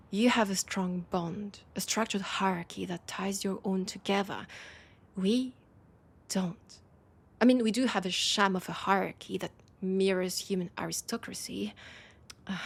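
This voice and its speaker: Woman doing a world-weary vampire lady, french accent, husky and creaky, slow intimate roleplay murmur. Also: mid-range voice. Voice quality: breathy, husky. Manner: slow, hesitant, intimate confiding with pauses. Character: weary, wry female vampire, confiding and world-weary. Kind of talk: intimate audio roleplay acting.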